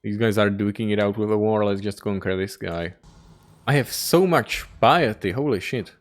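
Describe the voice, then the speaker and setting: A man's voice: fairly low pitch, moderate pace. A man giving a running commentary on his strategy game playthrough, swearing often and venting his frustration as he plays. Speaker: gaming YouTuber.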